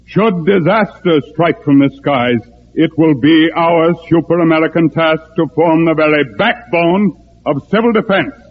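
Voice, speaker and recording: A man acting in a 1950s radio drama as a smooth, scheming leader of false patriots, with formal oratorical delivery. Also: fairly high pitch, a little slow, lightly acted. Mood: solemn, rousing. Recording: tinny band-limited old radio recording.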